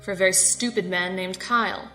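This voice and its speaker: A woman acting in an audio drama as a controlled, condescending security captain with a formal, measured manner and polite menace. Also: medium pitch, fairly even intonation, sharp tone, faint music behind, close to an everyday voice. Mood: smug, controlled.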